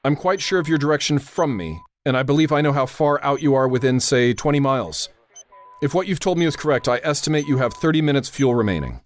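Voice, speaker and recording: An actor playing a steady, grave radio operator who gives precise, authoritative instructions and narrates in the style of old-time radio drama. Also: male voice, mid-range voice, quick pace, slight background noise, close to an everyday voice. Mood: controlled.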